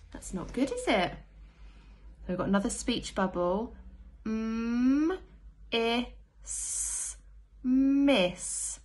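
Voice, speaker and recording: Very slow-paced young woman teaching phonics. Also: mid-range voice, a little mellow. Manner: sounds out words letter by letter, asks the children questions. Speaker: primary school teacher. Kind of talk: read-along phonics lesson for young children.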